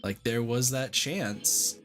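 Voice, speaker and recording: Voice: medium pitch, slow, fairly bright tone. Blunt, rambling game streamer over game music. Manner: blunt, sweary; rambling, repetitive, heavy use of "like". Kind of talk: casual livestream chat over gameplay. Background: video game music.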